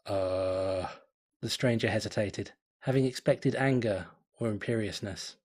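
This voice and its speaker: A man reading a fan fiction story aloud in a measured, deliberate way. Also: on the low side, darkish tone. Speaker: narrator. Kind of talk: fan fiction audiobook narration.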